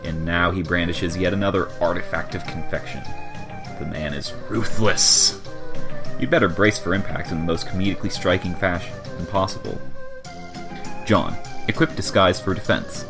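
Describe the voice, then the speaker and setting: A man's voice: low-pitched, fairly even intonation, moderate pace, over music. A man giving a dramatic, mock-serious scripted narration as the narrator of a comic adventure. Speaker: narrator of a fan comic dub.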